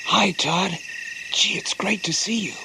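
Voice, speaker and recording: An actor voicing a friendly but cautious young adult male hound in an animated film. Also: sits high, unhurried, noisy background. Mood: hushed, worried. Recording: night crickets and frogs behind voice.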